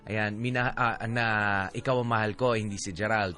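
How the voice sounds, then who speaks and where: A man with a Filipino accent co-hosting a radio show, casually reading listener messages and giving advice in a halting, conversational way. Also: fairly low pitch, fairly even intonation, pushed and bright, soft background music. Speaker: radio DJ co-host.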